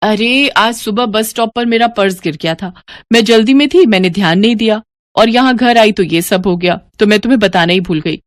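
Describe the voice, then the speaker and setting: A woman's voice: mid-range voice, a little fast, no echo, lightly acted. A woman playing an earnest, devoted young twin sister in a moral story. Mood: excited explaining.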